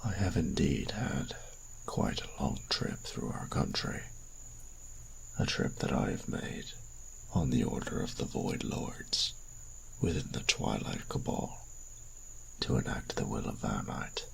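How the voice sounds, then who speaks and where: Abyssally deep, gravelly vampire inquisitor. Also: male voice, big pitch swings, full character voice, poor audio. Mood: formal, stern. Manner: slow, formal, solemn pronouncements. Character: cold, stern ancient male vampire inquisitor priest. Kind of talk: dramatic audio roleplay acting.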